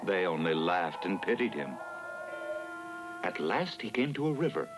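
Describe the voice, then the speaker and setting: A man narrating a children's fairy tale in a dramatic, measured storytelling style. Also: low voice, big pitch swings, over music, rough recording.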